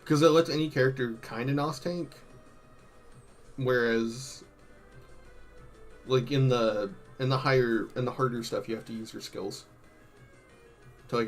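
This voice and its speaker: A man doing casual, slangy live-stream commentary, thinking out loud, his voice a bit worn from hours of talking. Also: medium pitch, measured pace, long pauses, faint music behind. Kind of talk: casual live-stream commentary, ranking game tier lists.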